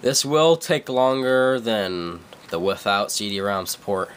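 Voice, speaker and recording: A man's voice: on the low side, lively intonation, a little slow, fairly bright tone, a little hiss or hum. A young man with a stuffy cold, casually talking through a computer tutorial, rambling and correcting himself with many fillers. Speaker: tutorial maker. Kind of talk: casual screen-recorded tutorial. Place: dim room at a computer.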